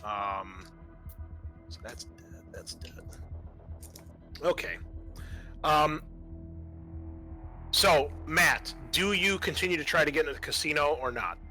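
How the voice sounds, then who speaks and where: A man acting as game master, narrating a tabletop roleplaying game in a halting, improvised way. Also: a little high, lively intonation, sharp tone, faint music behind.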